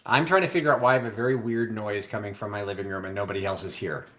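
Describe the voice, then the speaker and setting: A man with a Midwestern accent co-hosts a live online sale. He chats in a relaxed way with a lowish voice. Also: racing. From Chicago suburbs. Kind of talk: casual live-stream chat.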